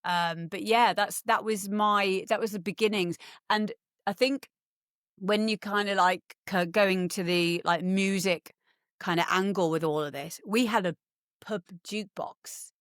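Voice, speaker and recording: A woman's voice: mid-range voice, fairly even intonation, unhurried, fairly bright tone, no echo, clean studio sound. A woman, chatting as a podcast guest, rambling with lots of ums and restarts. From England. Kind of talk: casual chat.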